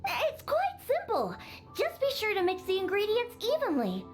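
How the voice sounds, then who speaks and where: An exasperated young girl from a video game, bossy and bratty. The voice sits high and moves around in lively swings as it scolds and instructs. Faint music sits behind it. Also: normal speed, heavily acted. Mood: bossy, instructing. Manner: scolding, bratty, matter-of-fact. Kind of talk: video game character acting.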